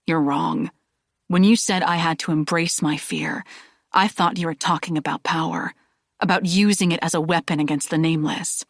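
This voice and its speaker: A woman's voice: sits low, fairly even intonation, moderate pace, vocal fry, no room sound, studio quality. A woman voicing an earnest, determined young male Jedi. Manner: earnest, pleading.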